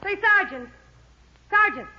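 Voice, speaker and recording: A woman's voice: extremely high pitch, lively intonation, unhurried, big-room sound, music in the background. A woman playing a brassy, indignant, complaining wife in a 1950s radio drama, muffled old recording. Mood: demanding. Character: brassy, indignant wife under suspicion, fussing over husband.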